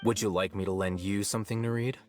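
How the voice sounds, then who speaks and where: A man playing an aloof, dry-witted young scholar in an anime game, deadpan, unhurried and a little condescending. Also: on the low side, music in the background, close to an everyday voice. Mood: deadpan teasing.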